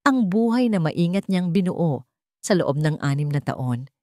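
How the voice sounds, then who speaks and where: A woman's voice: lowish voice, expressive, measured pace, a little mellow, dry recording, clean studio sound. A woman with a Filipino accent reading a scripted romance story as a dramatic narrator.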